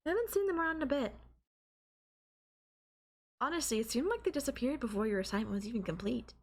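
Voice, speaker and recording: A woman's voice: high-pitched, animated, quick pace. Coy, teasing young girlfriend played by a woman. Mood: sweet, casual, then sympathetic. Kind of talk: intimate anime-style audio roleplay.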